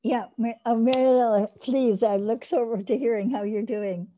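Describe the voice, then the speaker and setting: A woman's voice: on the high side, fairly even intonation, moderate pace, mellow, a little hiss or hum. An older woman hosting an online course on a video call, explaining eagerly and restarting her sentences often. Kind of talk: teaching and taking questions on a video call.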